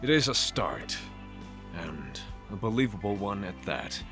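A man playing a measured, skeptical father leading a quest in a fantasy audio drama. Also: on the low side, very animated, over music.

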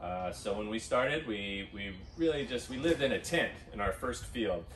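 A middle-aged man who is an organic farmer, answering interview questions in a relaxed, plain-spoken way with many fillers, recorded outdoors on a farm. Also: on the low side, moderate pace, a little hiss or hum. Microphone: camera mic from a distance. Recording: roomy, distant outdoor sound.

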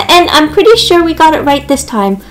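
Woman as a flustered, high-pitched girlish nurse. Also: very animated, moderate pace, slight background noise. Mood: apologetic. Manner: hesitant, fussy, apologetic. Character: flustered, apologetic, eager hospital nurse with a girlish voice. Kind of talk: toy doll roleplay.

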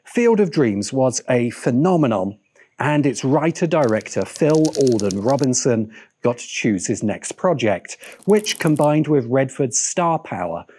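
A man's voice: medium pitch, very animated, measured pace, warm tone. A middle-aged man presenting a video essay on film in a wry, emphatic manner. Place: open-air veranda.

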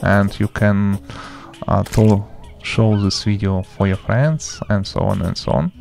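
A man with a non-native English accent giving a gameplay tutorial in halting, choppy phrases with broken grammar. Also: fairly low pitch, flat delivery, creaky, a little mellow. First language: not English. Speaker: game tutorial host.

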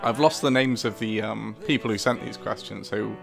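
Creaky-voiced man, mock-serious, over music. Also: fairly low pitch, fairly even intonation, moderate pace. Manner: dry, mock-serious humour. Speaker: co-writer and puppeteer giving commentary. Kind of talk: casual behind-the-scenes audio commentary. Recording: musical soundtrack playing behind the voice.

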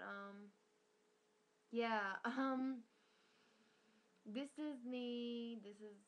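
A woman's voice: medium pitch, fairly bright tone. Rambling teen girl with slight Welsh accent. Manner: rambling, many ums, swears casually. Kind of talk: casual webcam diary. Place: small bedroom with posters, indoors. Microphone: built-in webcam mic.

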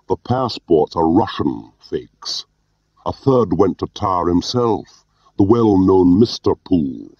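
A man's voice: low voice, sing-song, slow pace, dry recording, pitched above the performer's own voice, heavily acted. Audiobook villainous boss: Scottish intelligence chief, accusing, pert and pompous, read by a male narrator. Very dry, muffled sound. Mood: stern, insistent.

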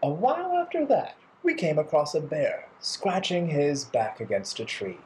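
A man in his thirties narrating a children's storytime playfully and theatrically, with deliberate phrasing. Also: a little high, sing-song, a little hiss or hum. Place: bright living room indoors.

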